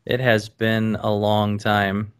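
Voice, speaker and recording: A man hosting a casual podcast, talking in a measured way with many pauses and restarts. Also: fairly low pitch, completely flat delivery, clear, resonant tone. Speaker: podcast host.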